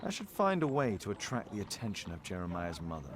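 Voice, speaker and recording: An actor playing a composed Victorian gentleman detective in a video game, English, formal, measured and wry. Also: low voice, very animated, noisy background. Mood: deliberate, scheming. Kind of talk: video game character acting.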